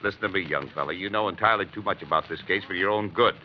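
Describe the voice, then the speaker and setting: An actor playing a gruff, suspicious police chief, curt and authoritative, in 1940s radio drama style. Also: male voice, deep voice, rapid-fire, lightly acted. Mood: suspicious, irritated. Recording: muffled, hissy old radio recording.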